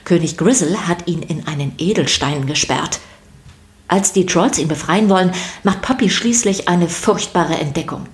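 A woman's voice: fairly low pitch, normal speed. A woman narrating a scripted children's story podcast, addressing her listeners playfully and directly.

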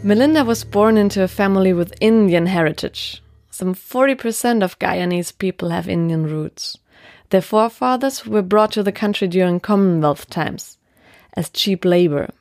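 Steady woman narrating a report. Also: mid-range voice, moderate pace, no echo, clean studio sound. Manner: clear, steady, explanatory. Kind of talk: scripted documentary narration.

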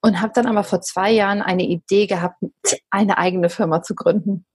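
Chatty woman from Berlin, husky. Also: medium pitch, fairly even intonation, a little fast, darkish tone, no room sound, clean studio sound. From Berlin, Germany. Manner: chatty storyteller with many fillers and laughs. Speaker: podcast guest, company founder. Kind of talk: casual interview talk.

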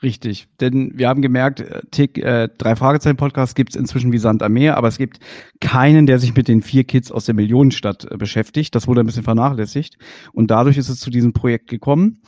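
A man's voice: mid-range voice, steady tone, quick pace, darkish tone, no echo. Man in his thirties hosting, dry sound. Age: 30s. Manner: friendly, many hesitation fillers. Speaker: podcast host. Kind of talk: casual podcast interview.

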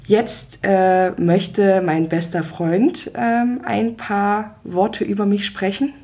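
A woman in her thirties hosting a personal podcast, talking in a relaxed way with hesitant fillers. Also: mid-range voice, slow. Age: 30s. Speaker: podcast host.

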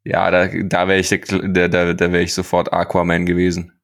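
A man's voice: sits low, monotone, normal speed. A man co-hosting a podcast in casual, joking banter, often repeating words as he finds his phrasing. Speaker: podcast co-host.

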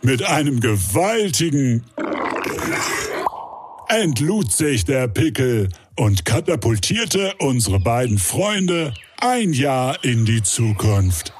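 A man's voice: mid-range voice, very animated, slow pace, background noise. A man playing a grand, mock-epic storyteller, narrating a comic radio play with pompous drama. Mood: grand, mock-solemn. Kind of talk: scripted narration. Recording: music under voice.

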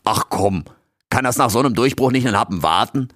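A man's voice: medium pitch, expressive, brisk, fairly bright tone, dry recording, studio quality, voice pushed up, natural voice. A man voicing a cheeky male raccoon intern who grumbles in street slang. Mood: defiant.

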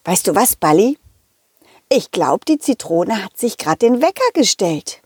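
A woman voicing a cheerful, excitable, loyal dog who tells stories to children in a playful, chatty way. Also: medium pitch, unhurried, slightly rough, dry recording, clean studio sound, full character voice. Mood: curious, amused. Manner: playful, chatty, giggly, addressing children directly. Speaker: puppet character host. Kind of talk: children's audio story narration.

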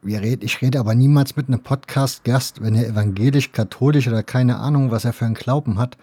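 A man's voice: mid-range voice, fairly quick, warm tone, slightly rough, no room sound. A man hosting a podcast, asking questions in a casual conversational style. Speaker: podcast host, interviewer.

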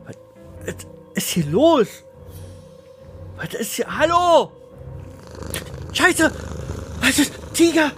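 A man's voice: extremely high pitch, big pitch swings, drawn-out words, pauses often, a bit bright, faint music behind, voice pushed up, heavily acted. A man playing a timid, boring accountant in an audio drama, stammering, pleading and crying out in helpless panic. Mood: panicked.

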